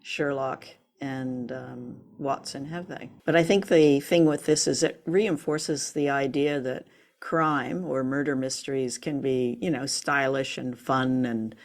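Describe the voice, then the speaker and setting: Low-pitched woman in casual review chat. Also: steady tone, measured pace. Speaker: co-host of a radio drama review show.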